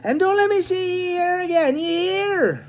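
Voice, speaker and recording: A man voicing a huge, commanding policeman, loud and stern, in a storybook reading. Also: moderate pace. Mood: ordering. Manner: booming, authoritative.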